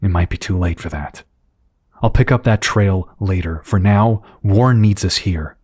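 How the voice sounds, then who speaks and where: A grim team leader acted by a man carries his burdens in a weary, earnest delivery. His voice is deep and rough-edged, recorded dry, in the understated dialogue of a superhero audio drama. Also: normal speed, a little mellow, dry recording. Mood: resolute worry.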